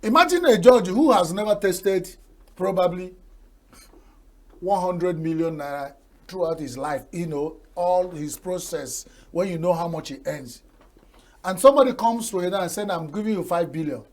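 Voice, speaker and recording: A man's voice: sits high, animated, normal speed. An older Nigerian man whose first language is Igbo, giving folksy TV talk show commentary full of proverbs and chuckles. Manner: folksy, proverb-laden, laughs at his own jokes.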